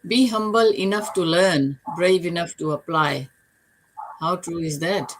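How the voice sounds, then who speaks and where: A woman's voice: fairly low pitch, a little slow, a little hiss or hum, poor audio. An older retired woman from Fiji, speaking as a panelist in an online business talk in an earnest, motivational way.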